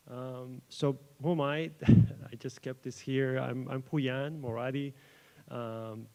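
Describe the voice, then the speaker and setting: A young man from Iran whose first language is Persian, a doctoral researcher giving an informal academic talk to a live audience in an event room, pausing often with fillers and restarts. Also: medium pitch, a little mellow. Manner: hesitant, frequent fillers and restarts. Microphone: microphone adjusted at the podium.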